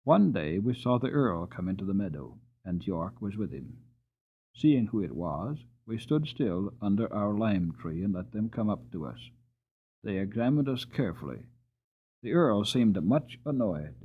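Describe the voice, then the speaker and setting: A man giving a dramatic, deliberate and expressive storybook narration on a muffled, narrow-sounding recording. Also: lowish voice, slightly rough. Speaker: audiobook narrator.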